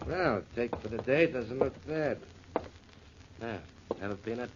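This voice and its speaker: An actor playing a genial, casual gambling boss in a 1940s radio drama. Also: male voice, mid-range voice, unhurried, lightly acted. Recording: dull, noisy 1940s radio recording.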